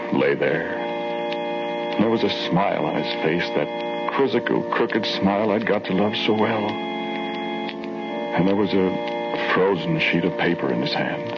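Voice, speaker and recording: An actor playing an earnest male survivor telling his grim story in a solemn, measured 1940s radio drama style. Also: rumbling low. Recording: muffled old radio recording with music.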